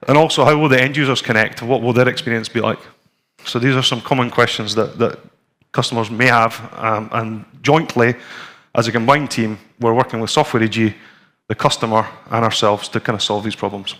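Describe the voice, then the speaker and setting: A man's voice: medium pitch, a bit bright. A man in his forties from Scotland with a Scottish accent, giving a conversational technical talk and live demo to a conference audience, with frequent ums and restarts. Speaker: conference presenter. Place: conference room with a screen and audience.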